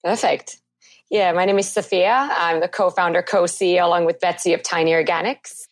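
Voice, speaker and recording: A woman's voice: on the low side, fairly even intonation, moderate pace, no echo. A woman, telling her story as an interview guest in a friendly, fluent way. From Finland. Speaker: guest, startup co-founder. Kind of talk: casual interview chat.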